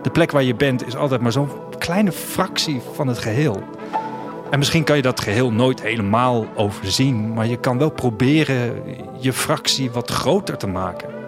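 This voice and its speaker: A man acting as a wistful, restless traveller tells his own story in a literary audio drama. His mid-range voice is reflective and measured. Light music plays behind him. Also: medium pitch, natural voice. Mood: earnest, reflective. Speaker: narrator and lead character of an audio drama. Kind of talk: first-person literary audio drama narration.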